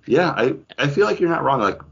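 A man's voice: on the high side, big pitch swings, fairly quick, vocal fry, a bit bright, breathy edge, dull audio. A man co-hosting a podcast, talking casually and explaining his views in a conversational way. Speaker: podcast co-host. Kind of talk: casual group discussion about comics.

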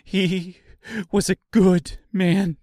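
A man's voice: fairly high pitch, steady tone, very slow, dark tone, husky, no echo, clean studio sound, voice pushed up, heavily acted. A man voicing a distraught seamstress, a grieving wife who cries out emotionally in a storybook reading. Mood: anguished. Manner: emotional, crying out.

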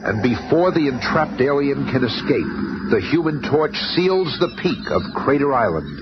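Casting: dramatic serial narrator, lively mid-range delivery, muffled vintage radio sound. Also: male voice, mid-range voice, moderate pace. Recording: dull, very noisy old radio recording.

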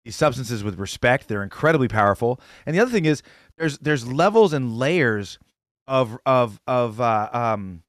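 A man reporting news on a casual talk show, earnest and halting, with many fillers. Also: mid-range voice, expressive, no echo, studio quality. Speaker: correspondent. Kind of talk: casual panel chat.

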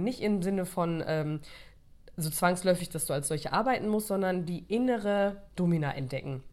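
A woman's voice: fairly low pitch, darkish tone. A woman with a cold and short of breath, talking in a casual, rambling monologue with frequent restarts and fillers. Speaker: course creator and podcast host.

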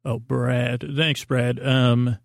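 Man, gravelly creaky voice, medium pitch, close dry sound, meandering, repetitive, whimsical podcast host. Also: little pitch movement, very slow, no echo. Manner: meandering, repetitive, trails off into tangents; whimsical, silly, talks to an imagined listener. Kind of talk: rambling improvised bedtime monologue.

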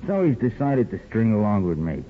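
A man's voice: on the low side, big pitch swings, a little fast. An actor playing a self-assured male racketeer, casual, smug and slangy, in a 1950s radio drama. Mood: smug, relaxed. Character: self-assured racketeer relaxing in a barber's chair. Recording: muffled, noisy old radio recording.

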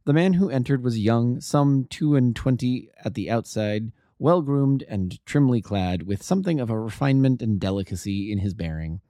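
Mellow, expressive man narrating a story. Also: medium pitch, no echo, studio quality. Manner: expressive, deliberate. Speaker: amateur audiobook narrator. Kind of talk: scripted literary narration.

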